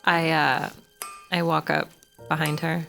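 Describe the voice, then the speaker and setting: A woman player talks through her character in a casual, playful way. Her voice sits low, with a gravelly edge. Faint music runs underneath. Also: flat delivery, slow pace, a bit bright. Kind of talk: playing a tabletop game in character.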